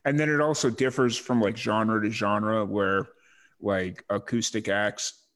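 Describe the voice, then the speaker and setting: Host, male, low-ish voice, easygoing chat with plenty of fillers. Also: measured pace. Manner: relaxed, filler-heavy, uses like and you know. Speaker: podcast host. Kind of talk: casual interview chat.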